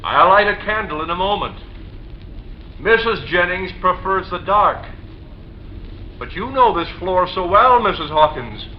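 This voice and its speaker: High-voiced, eerily obsessed widower. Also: male voice, animated. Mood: eerie, insinuating. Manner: hesitant, insinuating, halting. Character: nervous, pleading, eerily obsessed widower tenant. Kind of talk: old-time radio suspense acting. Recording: muffled, noisy vintage radio recording.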